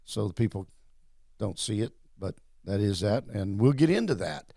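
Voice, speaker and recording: A man's voice: fairly low pitch, animated, normal speed, gravelly, warm tone, no room sound, studio quality. A man hosting a casual interview, rambling and repeating words in a friendly way. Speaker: host.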